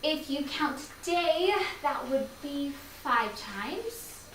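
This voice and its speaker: A woman's voice: high-pitched, lively intonation, slow, fairly bright tone, exaggerated voice. A woman acting in a staged play reading as a playful, teasing young enthusiast who coaxes and persuades emphatically. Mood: teasing, reassuring. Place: live staged reading in a room. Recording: very echoey, distant mic, faint noise.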